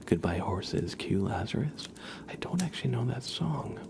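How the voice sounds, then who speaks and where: A man hosting a relaxed livestream in a soft, hushed, easygoing voice. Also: mid-range voice, moderate pace, creaky, noisy background. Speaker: livestream host and ASMR streamer. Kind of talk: soft casual livestream chat between songs.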